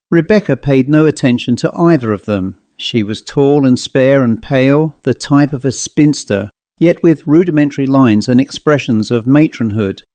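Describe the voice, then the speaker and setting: A male audiobook narrator reads literary fiction in a measured, formal way. His pitch is medium and the sound is very dry and close. It is scripted storytelling throughout. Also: dark tone, no room sound.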